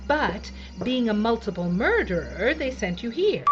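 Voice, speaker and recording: A woman's voice: on the high side, sing-song, measured pace, lo-fi sound, heavy background noise. A woman playing a breezy, callous female television boss in an audio drama, theatrical and drawling, with condescending endearments. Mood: smug.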